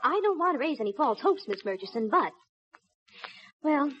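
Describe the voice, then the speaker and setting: An actress playing a gentle, wistful young woman in a 1950s radio drama. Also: sits high, very animated, moderate pace. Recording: dull distant 1950s radio, faint noise.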